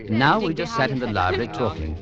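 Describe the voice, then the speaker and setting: An actor playing a wistful English survivor recalling his boyhood, measured and reflective in 1940s radio drama narration. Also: mid-range voice, sing-song, natural voice. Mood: nostalgic, foreboding. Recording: dull old radio recording, faint music.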